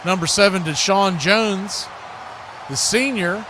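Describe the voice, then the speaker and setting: A high-pitched American male voice delivers live football play-by-play. He moves between short, clipped present-tense calls and conversational asides. A clear layer of background noise sits behind the voice throughout. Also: slow. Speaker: play-by-play sports commentator.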